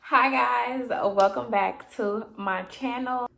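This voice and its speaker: A young woman from Chicago talks casually into her camera late at night, her voice slightly raspy. She rambles and explains with lots of "um" and "like", friendly and unhurried. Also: fairly high pitch, music in the background. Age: 20s. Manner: chatty, filler-heavy, explanatory. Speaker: vlogger, therapy grad student.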